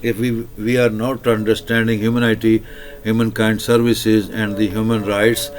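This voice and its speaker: Man, Urdu speaker, lowish voice, monotone, slow, halting monologue with lots of uhs. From Pakistan. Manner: hesitant, many filler sounds and restarts, repetitive phrasing. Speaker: channel host. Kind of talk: rambling solo monologue.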